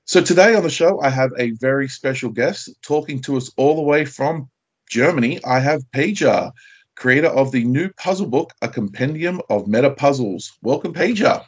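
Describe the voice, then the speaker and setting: A man's voice: mid-range voice, expressive, moderate pace, slightly rough. Man, podcast host, conversational interviewing, mid-range voice, slightly lo-fi recording. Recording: slightly dull, lo-fi audio.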